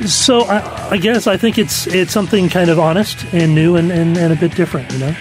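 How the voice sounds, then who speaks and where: A man's voice: high voice, a little fast, over music, rough recording. A man co-hosting a radio panel, thinking aloud with hesitant fillers. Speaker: radio show co-host.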